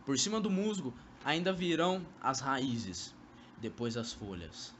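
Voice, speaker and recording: A man's voice: mid-range voice, unhurried, fairly bright tone, highs cut off, a little hiss or hum, close to an everyday voice. A young man acting a sly, coaxing former lover in an amateur radio drama, speaking Brazilian Portuguese with poetic, theatrical delivery. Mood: brooding, poetic.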